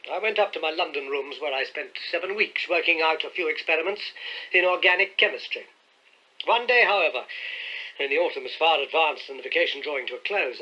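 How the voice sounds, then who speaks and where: A man narrating an audiobook in a measured, crisp storytelling manner. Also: fairly high pitch, bright, forceful tone. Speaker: audiobook reader. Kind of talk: scripted narration. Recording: dull lo-fi audiobook recording.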